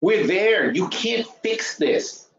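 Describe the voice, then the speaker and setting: A man's voice: high voice, lively intonation, takes it slowly. A man of fifty-five giving an informal, explanatory financial lecture as a webinar host, blunt and emphatic, thinking aloud, repeating phrases and asking rhetorical questions. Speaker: webinar host and trading educator. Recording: roomy, dull lo-fi webinar audio.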